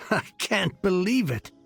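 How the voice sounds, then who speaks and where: A man's voice: sits high, big pitch swings, slow pace, vocal fry, faint music behind, heavily acted. A man voicing an earnest, grateful heir of a fallen family in a video game, with an effusive, formal way of talking. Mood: generous.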